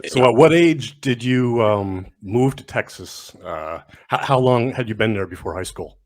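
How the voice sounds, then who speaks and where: A man co-hosting a sports interview, asking long, measured questions. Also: mid-range voice, lively intonation. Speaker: co-host.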